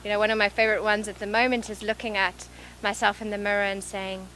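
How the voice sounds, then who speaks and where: A woman with a South African accent touched by English, a life coach speaking earnestly and softly in an interview, often repeating phrases. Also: medium pitch, little pitch movement, fast, slight background noise, rough recording. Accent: South African with some English. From born in Zimbabwe, raised in South Africa. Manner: earnest, soft-spoken, repeats phrases for emphasis. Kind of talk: reflective interview talk. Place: large event venue.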